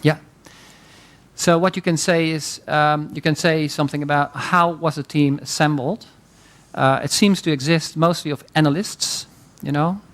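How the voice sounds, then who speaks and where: A man's voice: on the high side, steady tone, normal speed. A man leading a seminar, teaching conversationally and often affirming participants. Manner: conversational, frequent affirming interjections. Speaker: seminar lecturer.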